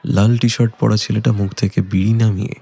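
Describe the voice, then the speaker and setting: Deep, gravelly man narrating a thriller. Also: flat delivery, warm tone. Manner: suspenseful, measured. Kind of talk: dramatic scripted story narration.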